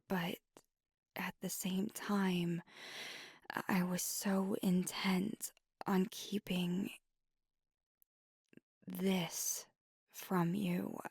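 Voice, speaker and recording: Hushed, breathy vampire girlfriend, acted by a woman. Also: fairly low pitch, dry recording, studio quality, full character voice. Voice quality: breathy whisper. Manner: halting, hushed, mostly whispered confession. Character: gentle, remorseful female vampire girlfriend, anxious, tender confessor. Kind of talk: intimate ASMR audio roleplay. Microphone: close, dry microphone.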